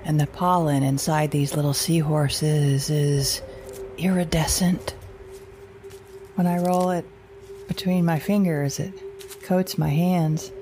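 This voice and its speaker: A woman's voice: sits low, fairly even intonation, takes it slowly, pauses often, mellow, slight background noise. An actress playing an anxious but defiant mother surviving a wild world in an audio drama monologue, fretful and questioning herself in broken phrases. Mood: weary, wondering. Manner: fretful, self-questioning, fragmented, repeating herself. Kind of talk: audio drama monologue, recorded-journal narration.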